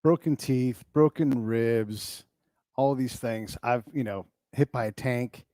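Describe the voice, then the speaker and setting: A man hosting an interview, asking animated, searching questions. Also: mid-range voice, takes it slowly, no echo. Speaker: podcast host. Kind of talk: interview conversation.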